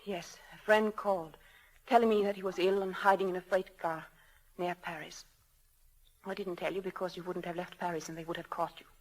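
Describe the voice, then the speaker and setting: An actress playing an anguished young wife torn between two loves, pleading and hesitant, in a 1940s radio drama. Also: female voice, on the low side, fairly even intonation, a little fast, close to an everyday voice, rough recording. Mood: tearful confession. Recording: old 1940s radio broadcast.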